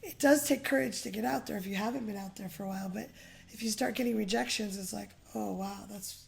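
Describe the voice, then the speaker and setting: Raspy-voiced woman getting over a cold. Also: mid-range voice, a little fast. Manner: relaxed, conversational, laughs easily. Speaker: co-host. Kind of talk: casual advice chat.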